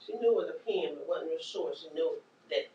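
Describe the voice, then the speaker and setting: Woman trainer, loud and animated, teaching caregivers; roomy distant sound. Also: low voice, fairly quick, highs cut off. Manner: animated, joking, acts out scenes, Southern-style casual grammar. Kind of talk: lively workshop teaching. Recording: echoey room from far off, faint noise.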